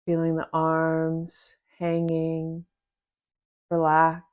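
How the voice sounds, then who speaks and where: Female meditation teacher, very flat, unvarying delivery, gentle instruction with long pauses, slightly muffled recording. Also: low voice. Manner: gentle, unhurried, with long pauses between short phrases. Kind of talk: guided meditation, soft instruction.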